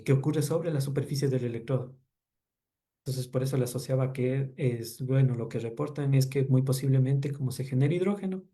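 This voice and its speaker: A man with an Ecuadorian accent and a mellow, dark-edged voice of ordinary pitch. He answers questions about his research in an academic seminar. His delivery is informal and explanatory. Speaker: doctoral student presenting research.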